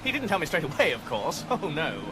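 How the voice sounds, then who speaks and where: A man's voice: a little high, wildly swooping pitch, fairly quick, over music, low-quality recording. An actor playing an exasperated, sarcastic British everyman, indignant about his home, in a comic radio drama.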